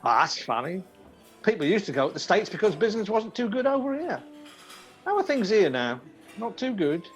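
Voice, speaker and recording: A woman's voice: medium pitch, sing-song, normal speed, faint music behind, natural voice. A woman playing a breezy, chatty male detective who asks probing questions in a radio play. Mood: casually probing. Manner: jovial, inquisitive.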